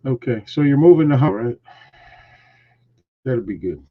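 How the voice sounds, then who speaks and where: A man's voice: medium pitch, expressive, moderate pace, phone-quality sound. A man hosting a casual live stream, greeting viewers and teasing his co-hosts in a joking, rambling way. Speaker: host.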